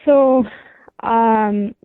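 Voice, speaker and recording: High-voiced woman on a phone line. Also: steady tone, very slow, dry recording. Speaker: caller, wife on a radio prank call. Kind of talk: emotional phone confrontation. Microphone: telephone. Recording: dry, muffled phone line sound.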